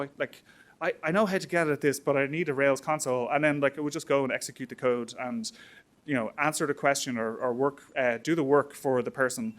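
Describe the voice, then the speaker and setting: A man with a mid-pitched voice presents at a technical meetup. He talks informally, as if explaining to colleagues. His delivery is conversational and a little halting, full of small verbal fillers. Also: fairly bright tone. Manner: conversational, hesitant with frequent "like" and "you know". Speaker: tech meetup speaker. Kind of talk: informal technical conference talk.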